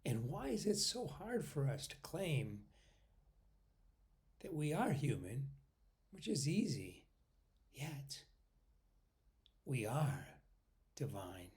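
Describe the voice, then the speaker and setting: Man, meditation guide, slow pace, lively pitch movement, short paused phrases, soft trailing endings. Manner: unhurried, pausing between short phrases, often trailing off softly.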